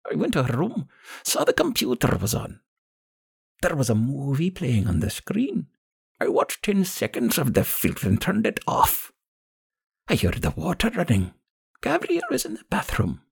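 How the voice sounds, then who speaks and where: A bitter, vengeful old woman, as a male narrator puts her on, speaks in a high, gravelly voice with menacing scorn. Her delivery is deliberate and swooping, like a storybook villain in a dark audiobook. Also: huge pitch swings, heavily acted. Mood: bitter grief. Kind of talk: audiobook character acting.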